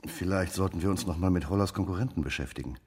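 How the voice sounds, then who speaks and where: Sardonic cop, low voice, casual dry delivery, radio drama. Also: male voice, fast, a little mellow, slightly rough. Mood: dry, skeptical. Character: sardonic, blunt male police detective.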